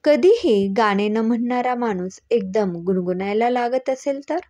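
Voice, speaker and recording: A woman's voice: on the high side, animated, measured pace, mellow. A woman storyteller voicing a clever, teasing woman doctor who explains things patiently and with assurance.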